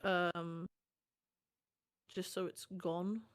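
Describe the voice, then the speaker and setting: A woman's voice: lowish voice, flat delivery, extremely slow, smooth. A woman with a German accent, German native, co-streaming a card game in a chatty, agreeable way, often affirming her partner. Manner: chatty, agreeable, frequent "yeah, exactly" affirmations. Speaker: guest co-streamer. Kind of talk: casual gameplay chat.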